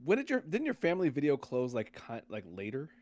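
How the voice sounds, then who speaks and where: A man's voice: mid-range voice, huge pitch swings, a little fast, a little mellow. Male podcast host, informal and opinionated, casual gamer talk with plain-spoken slang. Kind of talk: casual chat about games.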